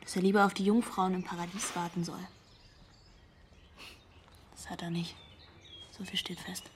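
A woman's voice: on the low side, level tone, normal speed, a little hiss or hum, natural voice. An actress playing a frank, troubled young girlfriend giving testimony in a radio drama, blunt and confiding. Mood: bitter, hurt. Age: young adult.

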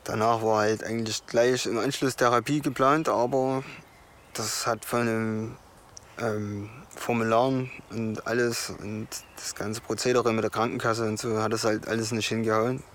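A man speaks candidly and casually about himself as an interviewee. His voice sits slightly low, with faint ambient noise behind it. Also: flat delivery, normal speed, a bit bright. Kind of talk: casual, candid personal account.

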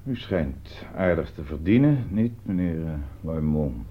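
A man's voice: very deep voice, animated, a little slow, full character voice. A man playing a sardonic, probing police detective in a radio drama, questioning in a dry, insinuating, hesitant way. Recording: muffled, noisy old radio play recording.

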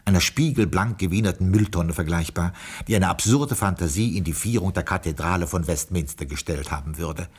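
A man's voice: low-pitched, slightly rough. A man playing a stiff, courteous English butler in a German radio play, speaking in formal, ornate phrases. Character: stiff, courteous English butler telling his tale with pompous flourish.

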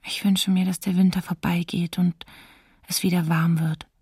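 Hushed, husky young female diarist. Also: fairly low pitch, little pitch movement, normal speed, no room sound, clean studio sound, far from the mic. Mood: wistful wishes. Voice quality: breathy, husky. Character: young female student writing a wartime diary, wistful, hopeful. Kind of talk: radio docudrama diary reading.

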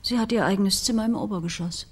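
Actress as a husky, composed politician's wife. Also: female voice, medium pitch, fast, lightly acted. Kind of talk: radio crime drama dialogue.

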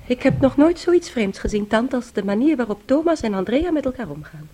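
An actress playing a prim, inquisitive young woman visiting relatives in a radio drama, polite and quietly insistent. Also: on the high side, very animated, a little fast, slight background noise. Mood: suspicious, probing.

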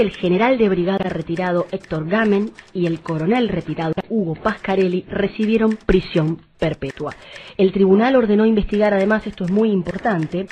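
A woman's voice: medium pitch, a little mellow, a little hiss or hum. A woman presenting an explanatory report in a didactic way, posing questions and answering them. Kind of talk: explanatory report on a human rights case.

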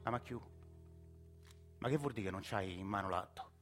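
A man's voice: fairly low pitch, moderate pace, fairly bright tone, faint music behind, lightly acted. An actor playing an exasperated, insistent working-class Roman family man in a radio play. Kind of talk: radio theatre acting.

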